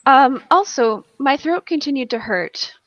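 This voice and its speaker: Higher-voiced woman telling a wry story. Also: lively intonation, measured pace, fairly bright tone. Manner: wry, self-deprecating, acts out dialogue. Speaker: guest on a charity livestream. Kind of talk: casual personal storytelling. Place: indoors at home, on a group video call. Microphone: video call microphone. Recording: dull, close video-call audio.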